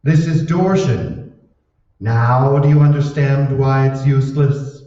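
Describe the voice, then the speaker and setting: A man playing a cold, calculating scientist in an old-time radio drama, deliberate, matter-of-fact and gloating. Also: medium pitch, steady tone, dark tone, close to an everyday voice. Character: cold, calculating male mad scientist, smugly explaining. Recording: echoey hall, distant mic, muffled.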